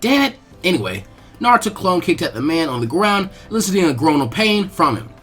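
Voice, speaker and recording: A man reading fan fiction aloud as narrator, in an animated storytelling style. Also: on the high side, fairly quick, soft background music.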